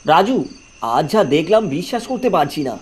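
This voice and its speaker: A man's voice: sits high, lively intonation, brisk, slightly rough. A man playing a fearful new postman on his first night, in a dramatic horror cartoon style. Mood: shaken. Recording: faint music behind the voice.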